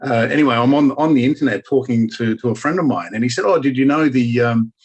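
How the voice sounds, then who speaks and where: A man's voice: medium pitch, fast, husky, dull audio. A man with an Australian accent who lives in Germany, chatting as a guest and telling stories with lots of restarts. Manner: rambling storyteller, many restarts. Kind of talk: casual conversation.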